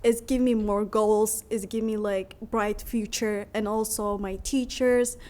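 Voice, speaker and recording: Earnest young woman, halting non-native English. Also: medium pitch, fairly even intonation, darkish tone. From Turkey. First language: Somali. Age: young adult. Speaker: graduating student. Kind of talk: speaking at a public meeting. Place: meeting room.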